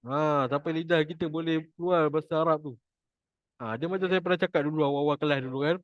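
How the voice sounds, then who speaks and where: Malaysian male Quran teacher, chatty and jokey, slightly high voice, dry lo-fi recording. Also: steady tone, fast talker, clean tone, dry recording. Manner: chatty, jokey, repeats points for emphasis. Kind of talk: informal online class teaching.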